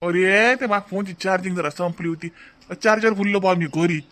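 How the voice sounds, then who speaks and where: A man's voice: high-pitched, fairly quick, a bit bright. A man voicing a chatty, easygoing older village father-in-law in a cartoon comedy, rambling and repeating himself in a rustic Vidarbha Marathi accent. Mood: musing. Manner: rambling, folksy, repeats phrases.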